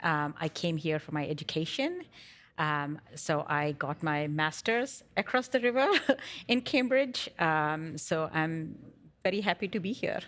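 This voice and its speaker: A woman's voice: low-pitched, animated, unhurried, fairly bright tone. A woman from India, introducing herself conversationally as a panel guest.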